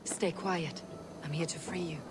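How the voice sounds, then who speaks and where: An actress playing a steady Viking warrior woman in a video game cutscene, speaking in a measured, direct, understated way. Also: lowish voice, heavy background noise, lightly acted. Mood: reassuring.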